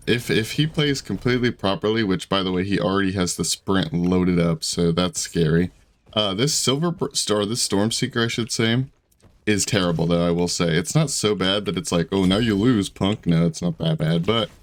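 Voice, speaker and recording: Low-voiced young man streaming a game. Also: moderate pace. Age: 20s. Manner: chatty, self-deprecating, laughs often. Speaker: gaming streamer. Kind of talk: casual gameplay commentary. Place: home room at a desk.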